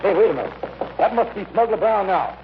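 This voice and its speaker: A man's voice: sits high, animated, fairly quick. An actor playing a self-important, conniving bluffer in an old-time radio comedy, repeating himself and mangling his grammar. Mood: urgent, pompous. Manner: blustering, repetitive, mangled grammar. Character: blustering, conniving male lodge schemer, self-important bluffer. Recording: muffled, hissy old radio recording.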